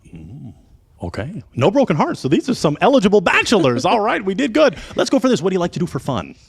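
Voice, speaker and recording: A male host with a high, lively voice that leaps around as he hypes up a dating show. He talks like a showman, playful and punchy. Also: quick pace, creaky, husky. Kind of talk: energetic dating show hosting.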